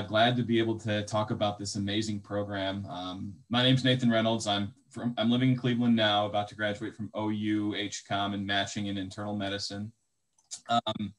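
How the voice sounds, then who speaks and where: A man's voice: on the low side, poor audio. A young man, a medical graduate, speaking casually on a video call with many fillers. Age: young adult. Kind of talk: online info session talk.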